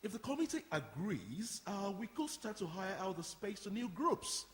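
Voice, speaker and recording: A man's voice: high-pitched, very animated, normal speed. An actor playing a courteous, practical community centre man who explains things politely in a radio play. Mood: upbeat, explanatory. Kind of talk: radio drama acting before an audience. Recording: reverberant hall, faint background noise.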